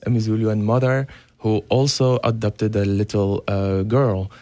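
A young man from Syria, a radio guest speaking earnestly and haltingly with many fillers. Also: fairly low pitch, flat delivery, mellow, no room sound. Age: young adult. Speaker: guest, dance teacher. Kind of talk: radio interview. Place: radio studio.

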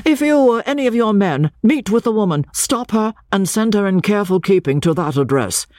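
A woman's voice: mid-range voice, lively intonation, brisk, a little mellow, dry recording, clean studio sound, pitched above the performer's own voice, lightly acted. A woman voicing a commanding man who keeps an asylum, calling out urgent orders in an audiobook reading.

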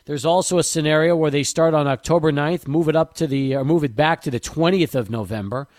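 A man's voice: on the high side, brisk, darkish tone, dry recording, studio quality. A man hosting a sports talk radio show in a conversational way, passing on news and listener questions to his guest.

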